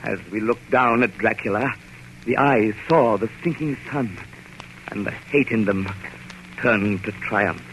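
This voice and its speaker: An actor playing an anguished, urgent vampire hunter in old radio drama, recounting horror with vivid theatrical intensity. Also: male voice, fairly low pitch, unhurried, a bit bright. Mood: grim dread. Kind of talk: 1930s radio drama acting. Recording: noisy vintage radio recording.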